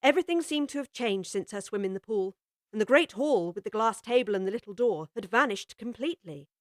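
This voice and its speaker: A woman narrating a classic children's story in a measured, gently expressive way. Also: mid-range voice, no room sound, studio quality.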